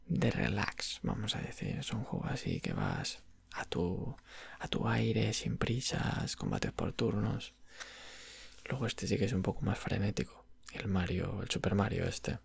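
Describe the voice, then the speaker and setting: A man with an accent from Spain playing a patient, friendly video game shop clerk in a soft, unhurried ASMR roleplay, close to the microphone. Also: lowish voice, exaggerated voice. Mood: gentle, thoughtful. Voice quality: breathy, soft, creaky. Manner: unhurried, hesitant, explaining gently in diminutives. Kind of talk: ASMR roleplay, soft whispered and low murmured talk. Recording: dry, very close ASMR recording.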